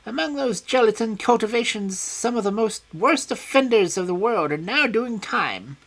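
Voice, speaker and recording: A reader voicing a small, frail, petulant older man with a high, shrill, screaming voice and imperious, peevish outbursts. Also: lively intonation, moderate pace, poor audio. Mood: smooth.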